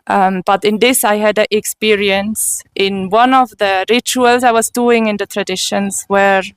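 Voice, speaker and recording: A woman with a German accent speaks slowly and earnestly, often pausing to find her words. Her voice is smooth and clear, recorded dry and close with no room sound. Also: on the high side, no room sound. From Switzerland. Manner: slow and earnest, with frequent pauses and restarts. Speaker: interviewee. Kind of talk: personal testimony.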